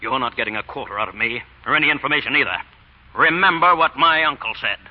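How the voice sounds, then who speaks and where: A man playing a gruff, blustering, tight-fisted fight promoter in 1940s radio acting. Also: mid-range voice, lively intonation, fast talker, lightly acted. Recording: dull lo-fi old radio broadcast.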